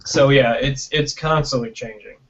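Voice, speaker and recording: A man's voice: mid-range voice, moderate pace, a bit bright. An American man speaking casually as an interview guest on an online video call, conversational and often checking in with "right?". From Michigan, United States. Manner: conversational, peppers talk with "you know" and "right?", acts out imagined quotes. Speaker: interview guest, film producer and director. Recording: dull lo-fi internet call audio.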